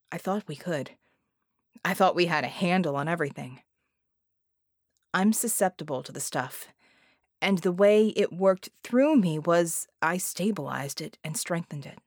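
A woman voicing a bitter, guilt-ridden man in an audiobook, gruff and emphatic in manner. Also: on the low side, no room sound, clean studio sound, lightly acted. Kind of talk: dramatized audiobook reading.

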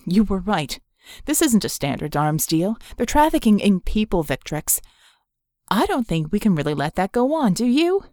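A woman's voice: medium pitch, big pitch swings, moderate pace, a little mellow, dry recording, studio quality, lightly acted. A woman voicing a grim, decisive male hero in an audiobook, speaking tersely and firmly. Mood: grave.

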